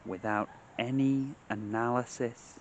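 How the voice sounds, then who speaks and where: Man, guided meditation, very slow pace, lowish voice, long pauses, outdoor nature noise behind. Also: fairly even intonation. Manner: measured, short phrases with long pauses. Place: outdoors among trees.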